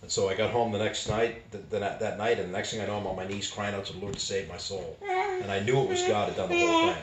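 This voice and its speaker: An older man from Boston, a street preacher, tells his conversion story with animated gestures in a small living room. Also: on the low side, fast. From Boston, United States. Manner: animated, acts out dialogue with hand gestures. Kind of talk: personal testimony storytelling. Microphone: camera a few feet away. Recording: roomy, distant and noisy home video.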